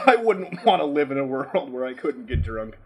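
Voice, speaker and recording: A slightly tipsy man hosting a podcast, chatting casually and asking questions in an animated, incredulous way, swearing freely. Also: mid-range voice, moderate pace, breathy edge. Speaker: podcast host.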